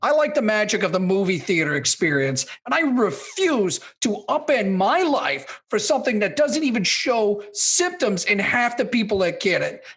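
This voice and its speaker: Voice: medium pitch, big pitch swings, normal speed, hardly any pauses, fairly bright tone. An actor playing a cranky, dismissive film critic in their sixties in a scripted comedy radio skit. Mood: grumpy. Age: 60s. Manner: grumbling, dismissive, combative. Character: cranky opinionated 68-year-old film critic, a baby boomer.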